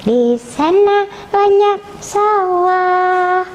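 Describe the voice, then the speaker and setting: A young girl telling a simple story in a halting, sing-song way. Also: very high-pitched, lively intonation. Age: child. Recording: dull lo-fi sound, faint noise.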